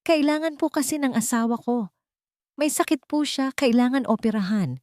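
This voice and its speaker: A woman's voice: high voice, normal speed, no echo, studio quality, voice pushed up, lightly acted. Woman acting a humble, trembling old foreman. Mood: pleading. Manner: soft, respectful, slightly trembling. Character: humble, pleading elderly male construction foreman.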